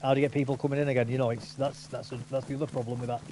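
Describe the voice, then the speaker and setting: A man talks quickly and at length over a game, opinionated and rambling, with frequent restarts. His voice sits at an ordinary pitch. A faint hiss of noise runs behind him. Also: flat delivery, warm tone. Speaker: gamer chatting with a friend. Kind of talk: casual chat over gameplay.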